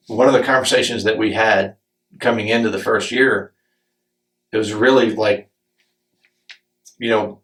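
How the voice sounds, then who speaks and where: A man hosting a podcast as a business coach, his voice hoarse and raspy, talking candidly and swearing freely. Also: lowish voice, fairly bright tone, reverberant, recorded from a distance, poor audio. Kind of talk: casual podcast conversation. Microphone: studio microphone.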